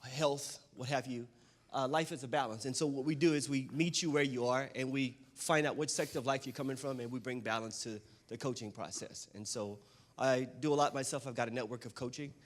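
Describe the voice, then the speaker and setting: A man's voice: medium pitch, steady tone, brisk. A man from the southern United States introducing himself informally as a guest coach on stage. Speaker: guest speaker, life coach. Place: stage at a live event.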